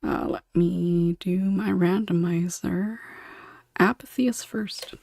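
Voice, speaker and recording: A woman's voice: on the low side, fairly even intonation, takes it slowly, vocal fry, a little mellow, no echo. Giggly woman podcast host, dry close sound. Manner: giggly, chatty. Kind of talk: casual panel discussion.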